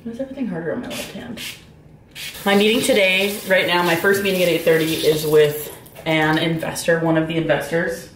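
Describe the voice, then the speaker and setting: A young woman talking casually to the camera in a day-in-the-life vlog, chatty and quick, often laughing and drifting into little rants, filmed at arm's length at home and in her car. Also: fairly low pitch, steady tone, a little hiss or hum. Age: young adult. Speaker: vlogger and business owner. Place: kitchen and bathroom at home, then inside a car. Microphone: handheld camera at arm's length.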